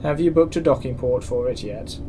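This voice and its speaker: A man's voice: mid-range voice, brisk, background noise, natural voice. A man playing a stern, suspicious space station security officer in a sci-fi audio drama, formal and curt. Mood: officious, suspicious.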